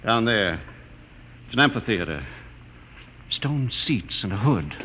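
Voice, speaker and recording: A man's voice: lowish voice, very animated, takes it slowly, bright, forceful tone, heavily acted. A man acting a thoughtful, scholarly spaceship crewman full of wonder in a 1950s radio drama, musing and respectful. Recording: muffled old radio recording, faint noise.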